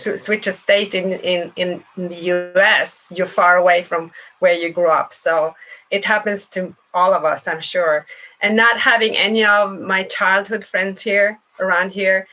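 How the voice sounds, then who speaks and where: A woman with a bright, crisp voice, talking over a video call. She chats in a friendly, easygoing way, now and then pausing to hunt for a word. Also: on the low side, low-quality recording. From Norway. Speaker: podcast co-host. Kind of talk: casual conversation.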